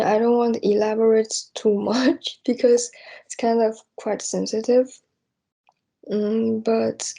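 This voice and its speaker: A young woman from East Asia speaking off the cuff and reflectively, hesitant and halting as she searches for words. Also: on the high side, gravelly, warm tone. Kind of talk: unscripted spoken reflection on personal hopes.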